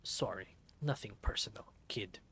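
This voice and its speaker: A man's voice: on the low side, a little slow, fairly bright tone, breathy edge, whispered, pitched below the performer's own voice, full character voice. A man voicing a big creature henchman in a visual novel, casual and reluctant yet menacing. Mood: quietly menacing. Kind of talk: visual novel character acting.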